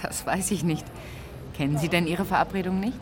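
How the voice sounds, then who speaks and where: A woman's voice: fairly low pitch, fairly quick, crowd noise, close to an everyday voice. Actress as cool, witty flirting woman. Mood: teasing, curious. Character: cool, witty, forward woman chatting up a stranger. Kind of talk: naturalistic radio drama acting.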